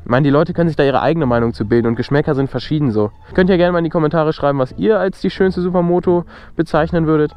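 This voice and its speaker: A young man vlogging casually while riding a motorcycle, excited and slangy, talking straight to his viewers. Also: fairly high pitch, fast talker, lo-fi sound, soft background music. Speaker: motovlogger. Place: outdoors on a motorcycle, city streets and open road. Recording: wind and engine noise.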